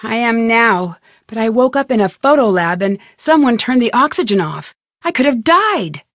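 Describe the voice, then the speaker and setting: An actress playing a poised, inquisitive young woman detective in a video game, questioning in a measured way. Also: on the high side, dry recording, studio quality. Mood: serious, probing. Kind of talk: video game character voice acting.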